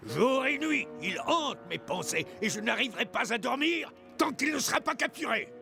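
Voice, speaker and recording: An actor playing a vengeful, bossy whaling captain in a cartoon, with a gravelly voice and theatrical, commanding delivery. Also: male voice, extremely high pitch, animated, moderate pace, bright, forceful tone, heavily acted. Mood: obsessed, rallying. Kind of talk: French cartoon dub acting. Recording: music behind the voice.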